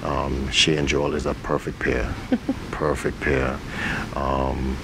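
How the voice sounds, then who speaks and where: Very deep gravelly man, halting delivery. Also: completely flat delivery. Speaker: stage play director and actor. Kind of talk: interview answers about a community stage play. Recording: noisy recording with rumbling ambience.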